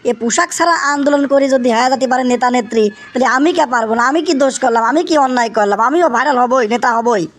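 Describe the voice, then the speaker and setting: A man with a Bangladeshi accent voicing an excitable young cartoon bachelor desperate for fame, whiny and pleading, repeating himself emphatically. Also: very high-pitched, fairly quick, hardly any pauses. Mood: excited scheming. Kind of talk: exaggerated comic cartoon acting.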